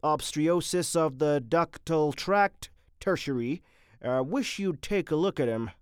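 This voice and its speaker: A distraught surgeon, voiced by a man reading the story, talking strained and hurried. The voice is pitched well above the reader's own and pushed louder. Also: clean tone, dry recording, clean studio sound, lightly acted. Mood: anxious. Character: harried anxious male surgeon.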